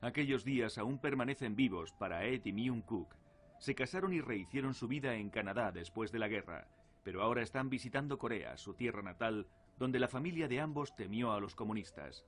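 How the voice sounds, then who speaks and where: A man's voice: medium pitch, animated, a little fast. A man reading scripted narration for a documentary. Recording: clearly noisy documentary soundtrack. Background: clear background noise.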